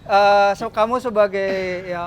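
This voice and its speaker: A man's voice: high voice, steady tone, measured pace, a loud crowd. A man hosting a sports interview, playful and jokey, with frequent backchannel replies. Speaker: sports show host.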